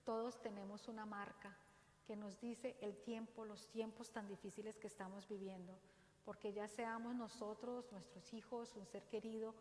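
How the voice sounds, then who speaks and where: A woman with a Dominican accent gives an earnest, exhorting faith talk in a church, speaking slowly and deliberately. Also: medium pitch, a little hiss or hum. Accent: Dominican Spanish. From Dominican Republic. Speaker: parish speaker. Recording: roomy church echo, faint ambience.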